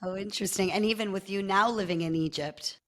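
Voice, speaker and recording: A woman's voice: mid-range voice, a little fast, a bit bright. A woman hosting a podcast interview, curious, asking questions and reacting with short remarks. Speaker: podcast host. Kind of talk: casual interview chat.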